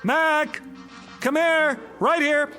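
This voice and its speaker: A man's voice: very high-pitched, fairly even intonation, very slow, full character voice. A man playing an agitated, headstrong father in a radio drama, urgent and desperate. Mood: urgent calling. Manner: urgent, impulsive. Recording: music bed behind voice.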